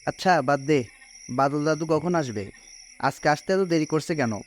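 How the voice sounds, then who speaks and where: A man's voice: fairly high pitch, moderate pace, natural voice. A man playing a teasing young friend chatting in a cartoon. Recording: faint noise with animal and insect sounds.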